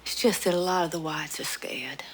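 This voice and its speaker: A woman's voice: sits low, normal speed, slight background noise, lightly acted, rough recording. A woman playing a timid, hesitant wife in a film drama. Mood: nervous.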